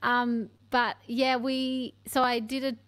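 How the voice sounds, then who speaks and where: A woman's voice: a little high, flat delivery, slow pace, fairly bright tone. An Australian woman in her thirties, a singer chatting casually about her music from a home room full of bookshelves, laughing often. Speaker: singer-songwriter guest. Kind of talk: casual interview chat. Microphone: studio mic on stand, headphones on.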